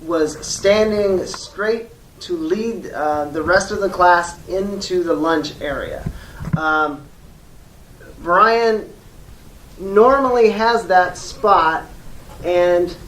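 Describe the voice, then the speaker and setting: Polite teacher someone role-playing calling a parent, measured n hesitant, echoey room, bit of background noise. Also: medium pitch, fairly bright tone. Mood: careful, firm. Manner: hesitant, measured, diplomatic, frequent pauses. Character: polite, diplomatic schoolteacher phoning a parent. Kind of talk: role-played phone call demonstration. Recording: echoey room, distant mic, faint noise.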